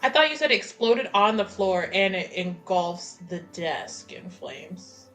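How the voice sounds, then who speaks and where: Woman, tabletop player, very bright forward voice, informal and expressive, casual game chat. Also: medium pitch, measured pace, faint music behind.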